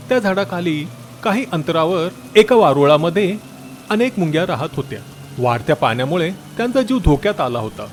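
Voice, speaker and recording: A man narrating a scripted children's story in an expressive, dramatic way. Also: a little high, a little hiss or hum.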